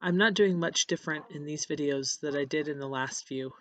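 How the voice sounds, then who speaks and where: Scratchy hoarse voice from a cold, painter's voiceover, halting casual talk, mid pitch, dry sound. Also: a bit bright, no echo. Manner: casual, halting, with frequent restarts. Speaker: encaustic painter. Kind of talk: voiceover narrating a painting process. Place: voiceover recorded apart from the footage.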